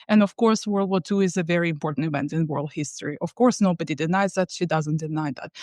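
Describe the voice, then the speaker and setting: A woman's voice: fairly low pitch, darkish tone, no echo, studio quality. A woman from Turkmenistan, an academic guest on a podcast, explaining ideas thoughtfully with frequent restarts. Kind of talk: podcast discussion.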